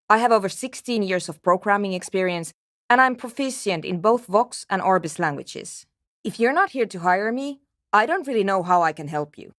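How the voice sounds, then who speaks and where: A woman's voice: mid-range voice, fairly bright tone, no echo, clean studio sound, natural voice. A woman playing a guarded, impatient and anxious programmer in a video game, with a terse, precise and matter-of-fact way of talking. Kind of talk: scripted game character dialogue.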